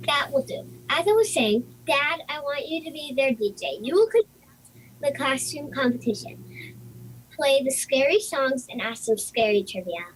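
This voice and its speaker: A woman's voice: high-pitched, animated, moderate pace, poor audio. A woman playing a bossy, precocious nine-year-old girl in an amateur audio play, with a commanding, matter-of-fact delivery. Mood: bossy, brisk.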